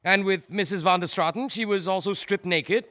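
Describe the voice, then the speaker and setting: An actor playing a male prosecutor who questions a witness in a formal, probing way, with careful pauses. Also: high voice, pushed and bright. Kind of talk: audio drama acting.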